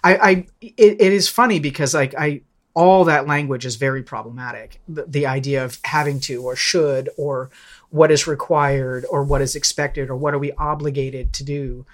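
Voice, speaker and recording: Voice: medium pitch, moderate pace. A person in a casual, reflective podcast discussion, thinking aloud hesitantly and correcting themselves as they go.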